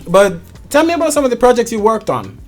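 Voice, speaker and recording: A man's voice: extremely high pitch, big pitch swings, quick pace, soft background music. A man hosting a casual studio interview in a chatty, informal, jokey way. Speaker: show host. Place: music studio.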